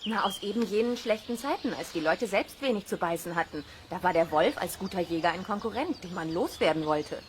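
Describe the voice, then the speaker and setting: Casting: actress as friendly wolf expert, lowish voice with animated melody, patient and amused, children's audio drama. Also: female voice, brisk, few pauses, natural voice. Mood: friendly, instructive. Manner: patient, lightly amused explaining. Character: friendly, knowledgeable woman who looks after wolves. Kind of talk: children's radio play dialogue. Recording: faint cassette noise.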